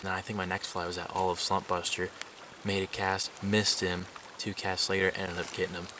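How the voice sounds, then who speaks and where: A man's voice: deep voice, a little fast. Tired young man vlogging by a lake. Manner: excited, rambling fishing talk. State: tired from staying up all night. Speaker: fishing vlogger. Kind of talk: casual outdoor vlog. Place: outdoors at a lakeshore. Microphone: camera on the shore at a distance. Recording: camera mic at a distance, outdoor water noise.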